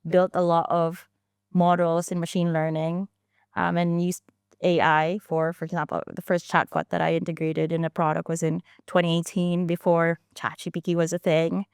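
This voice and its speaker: A woman's voice: on the low side, level tone, normal speed, darkish tone, smooth, dry recording, studio quality. A woman in her thirties, a founder telling her story in a casual interview, chatty, with frequent restarts and fillers. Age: 30s. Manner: chatty, many restarts and fillers, laughs easily. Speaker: founder and guest.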